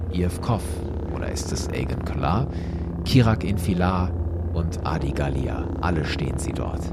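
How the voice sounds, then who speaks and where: Deep-voiced man narrating dramatically. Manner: vivid, expressive retelling. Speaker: podcast host. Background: music.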